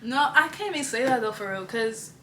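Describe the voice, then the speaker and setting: A young woman from Nigeria, a guest in casual chat, talking in a relaxed, rambling way with slang. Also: fairly high pitch, a little fast. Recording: echoey room, faint noise.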